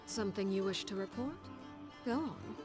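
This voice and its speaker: A woman voicing a composed, formal woman in authority in a video game, attentive and measured. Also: medium pitch, close to an everyday voice. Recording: game audio with music.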